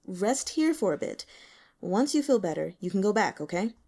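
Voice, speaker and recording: A woman voicing a gentle, considerate, soft-spoken man in an audiobook. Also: medium pitch, big pitch swings, moderate pace. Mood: gentle concern. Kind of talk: audiobook character acting.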